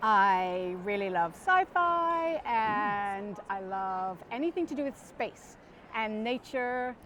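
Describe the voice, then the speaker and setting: A middle-aged woman born in Guyana, an author chatting as a guest in an interview, talking in quick bursts and correcting herself as she goes. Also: medium pitch, animated, fairly bright tone, a little hiss or hum. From born in Guyana, raised abroad. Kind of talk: casual interview chat. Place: parked van.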